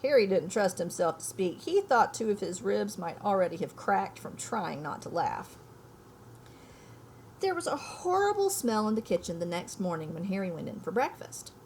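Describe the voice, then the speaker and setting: A woman reads a children's story aloud with very lively, swinging intonation. Her delivery is explanatory and informal, as if guiding young listeners. There is faint noise behind her voice. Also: medium pitch, low-quality recording. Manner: explanatory, checks understanding with listeners. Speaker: reader reading a children's book aloud. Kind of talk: expressive read-aloud with informal explanatory asides.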